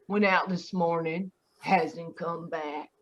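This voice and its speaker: Woman playing a slow, sour, curt landlady. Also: lowish voice, steady tone. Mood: curt, irritated. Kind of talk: old-time radio detective acting. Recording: dull online video-call audio.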